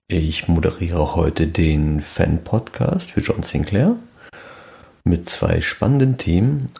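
A middle-aged man presents a fan podcast as a solo monologue. His voice sits very low and has a strong fry to it, like someone speaking from deep in his register. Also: mellow. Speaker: podcast host.

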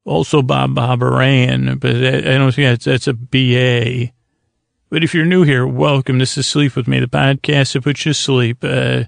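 A man hosting a bedtime podcast in a soothing, lulling tone, meandering and halting as he repeats himself and trails off mid-sentence. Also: mid-range voice, vocal fry, dry recording, clean studio sound. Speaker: podcast host. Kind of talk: rambling bedtime monologue to help listeners sleep.

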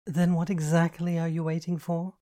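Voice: mid-range voice, little pitch movement, moderate pace, warm tone, voice pushed up, natural voice. A reader doing a flamboyant camp young man. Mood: teasing. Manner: grand, theatrical, teasing. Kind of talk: audiobook dialogue.

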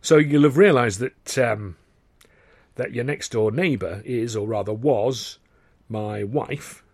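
A man's voice: mid-range voice, slow, darkish tone, no echo. A man voicing a middle-aged male theatre impresario who is jovial but touchy, hesitant then snapping. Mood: probing.

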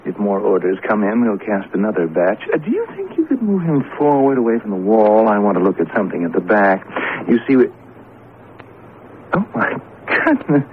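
A man's voice: fairly low pitch, big pitch swings, normal speed. An actor playing an older tradesman in a radio drama, polite and folksy, hesitant yet apologetically insistent. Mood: genial. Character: older tradesman from a statue casting shop, apologetic but insistent. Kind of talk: vintage radio drama acting. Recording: muffled lo-fi radio broadcast, faint noise.

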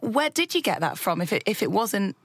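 A woman hosting a conversational interview, animated and quick to affirm her guests with short interjections. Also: mid-range voice, slightly rough, no room sound, studio quality. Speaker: podcast host.

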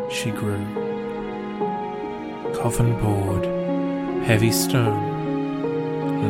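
A low-voiced man gives a measured poetry reading with very little rise and fall. Soft, melancholy new-age music plays clearly beneath him. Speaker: poetry podcast host and reader. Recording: music under the voice. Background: soft, sad new-age music.

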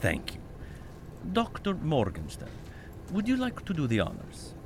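An actor playing a confident, courteous lead scientist with a formal, grandly deliberate manner in an audio drama. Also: male voice, fairly high pitch, wildly swooping pitch, noisy background, heavily acted.

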